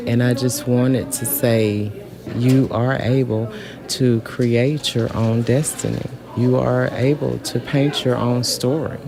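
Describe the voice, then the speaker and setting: A woman's voice: very deep voice, fairly even intonation, slow, creaky. A middle-aged woman speaking informally and from the heart, repeating phrases for emphasis, recorded on location with other voices around. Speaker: incarcerated artist. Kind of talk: heartfelt informal interview answer. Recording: location recording with crowd chatter behind. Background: background voices.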